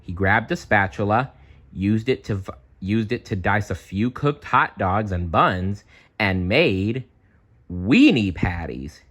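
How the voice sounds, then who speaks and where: A man narrates a children's story in a slightly low voice with very lively pitch. He takes his time and is chatty and expressive. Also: slow. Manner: animated, chatty. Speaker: read-aloud storyteller.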